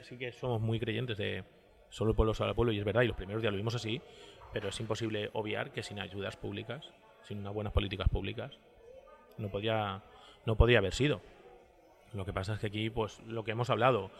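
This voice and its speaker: A man's voice: lowish voice, little pitch movement, quick pace, vocal fry. A man speaking casually and conversationally as an interview guest.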